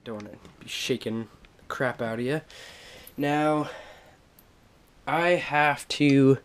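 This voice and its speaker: A young man with a stuffed-up cold voice, casually showing off his things in a halting home vlog full of fillers. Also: medium pitch, slight background noise. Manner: halting, many fillers and restarts. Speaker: vlogger. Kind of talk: casual show-and-tell vlog. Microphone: action camera on a tripod.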